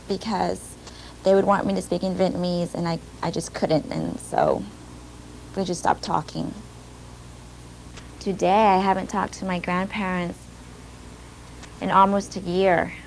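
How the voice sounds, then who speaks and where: A young woman talks in a soft, halting, reflective way, with a gravelly fry running under many of her words. Her voice comes from an older video clip, with a faint hiss behind it. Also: on the low side, poor audio. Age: young adult. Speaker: former student telling her story in a video. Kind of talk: personal testimony. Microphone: archival video clip played during a webinar.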